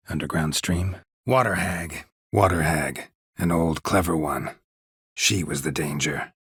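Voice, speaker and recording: Gruff sardonic monster hunter for a game, deep n super raspy, terse deadpan lines. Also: male voice, no room sound, studio quality, full character voice. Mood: calm, matter-of-fact. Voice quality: low, gravelly rasp. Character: gruff, stoic male monster hunter, world-weary and sardonic. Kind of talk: video game character dialogue.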